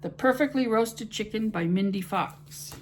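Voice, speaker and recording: A woman's voice: mid-range voice, a little slow. Canadian lady rambling about cookbooks at home, friendly, bit of hiss behind. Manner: rambling, friendly, with fillers and restarts. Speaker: cooking channel host. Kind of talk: chatty vlog talk to camera.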